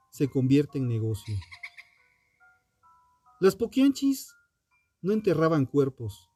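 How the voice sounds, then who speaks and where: A man narrating a scripted true crime story with dramatic, deliberate phrasing and pointed pauses. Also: a little high, dark tone, no room sound. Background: music under the voice.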